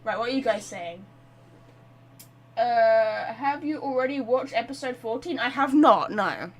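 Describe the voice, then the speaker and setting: Chatty woman streamer, higher-pitched voice. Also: lively intonation, unhurried. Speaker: live streamer. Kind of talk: casual game stream chat.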